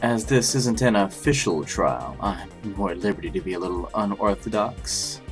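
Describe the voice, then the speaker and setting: Guy doing dignified stern prosecutor from a game dub, deep-ish voice, very formal, music in back. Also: animated, natural voice. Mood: composed. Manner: formal, deliberate. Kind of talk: scripted anime-game fan dub acting. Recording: music behind the voice.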